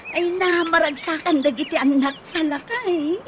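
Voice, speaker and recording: Actress as a high-voiced, nagging Ilocano wife. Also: female voice, moderate pace. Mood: chiding. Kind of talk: Ilocano radio comedy drama acting. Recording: radio drama with noisy chirping ambience.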